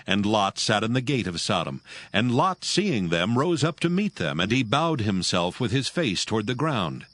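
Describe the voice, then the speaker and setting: A man narrating scripture in a measured, solemn reading. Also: lowish voice, big pitch swings, fairly bright tone, no room sound. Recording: narration over music.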